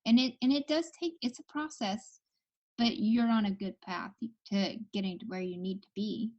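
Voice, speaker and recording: A woman reads cards for callers and talks them through their troubles in a relaxed, chatty way. Her voice sits at an ordinary pitch. The recording is a bit dull and lo-fi. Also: normal speed. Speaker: tarot reader hosting a call-in show. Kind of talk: casual advice chat.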